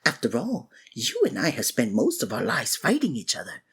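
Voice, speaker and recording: A woman with an unusually deep, breathy voice delivers a scripted villain roleplay. Her pitch leaps wildly between low grumbles and high exclamations. The delivery is theatrical and exasperated. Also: huge pitch swings, moderate pace. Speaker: ASMR voice actor playing a villain.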